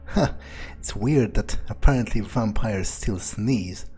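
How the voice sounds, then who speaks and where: A man's voice: fairly low pitch, slow, mellow, faint music behind. A man thinking aloud in character during a game playthrough, musing and hesitant, often trailing off. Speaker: let's player speaking in character as a vampire.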